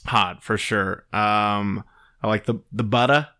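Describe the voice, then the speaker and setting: A man chatting as a podcast guest, joking in deadpan banter. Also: lowish voice, slow pace, smooth. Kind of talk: casual podcast chat.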